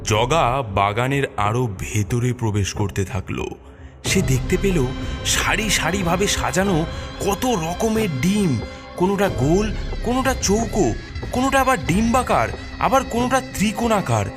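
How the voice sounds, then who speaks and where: A man's voice: high-pitched, sing-song, a little slow. A man narrating a scary cartoon story in a dramatic, suspenseful, scripted storytelling manner. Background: prominent background music.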